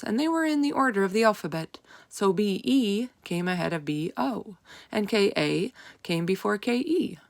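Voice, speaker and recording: A woman reading a children's storybook aloud as narrator, with expressive, deliberate emphasis. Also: medium pitch, gravelly.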